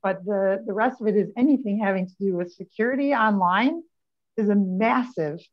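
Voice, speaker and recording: Animated older woman on a video call. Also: medium pitch, normal speed. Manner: animated, gestures a lot while talking. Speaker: state senator. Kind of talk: panel discussion on video call. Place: home office. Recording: slightly dull video call audio.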